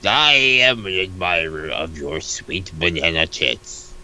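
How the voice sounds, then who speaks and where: Casting: pushy, lewd female protest leader played by a man, very low and hard-edged, blunt delivery, comic webcomic dub. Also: lively intonation, slow pace, sharp tone, slight background noise, heavily acted. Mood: insistent. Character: pushy, lewd woman leading a topless protest. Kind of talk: comic dramatic reading of a webcomic.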